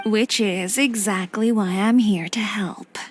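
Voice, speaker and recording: A woman voicing a self-assured, secretive fashion designer with a brisk, dry manner in an anime game. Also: medium pitch, steady tone, soft background music, natural voice. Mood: dry, pointed.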